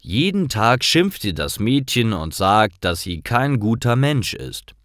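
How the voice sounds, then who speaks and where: A man reading simple scripted stories aloud in a clear, deliberate manner, as a storyteller for language learners. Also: mid-range voice, very animated, fairly bright tone, no echo, clean studio sound.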